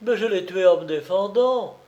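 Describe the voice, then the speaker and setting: A man's voice: sits high, measured pace, highs cut off, pitched above the performer's own voice. A guy voicing a cornered cheeky traveller, pleading in a raised voice. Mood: defensive. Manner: pleading, excitable protests. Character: cheeky cornered male traveller, brazen liar.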